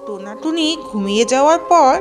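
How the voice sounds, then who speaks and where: A woman's voice: sits high, animated, fairly quick, music in the background. A woman narrating a scripted children's cartoon story.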